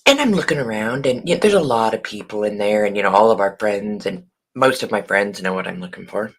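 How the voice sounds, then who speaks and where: A hoarse woman who has partly lost her voice, chatting casually on a live stream and greeting viewers by name. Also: extremely low pitch, animated, a little fast. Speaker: online shop owner hosting a live video.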